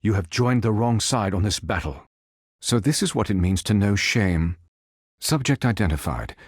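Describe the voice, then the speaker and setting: A man playing a calm, noble android superhero in a video game, speaking in formal, precise, stilted robotic phrases. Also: lowish voice, moderate pace, husky, dry recording, clean studio sound. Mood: measured, analytical. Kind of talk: video game character voice lines.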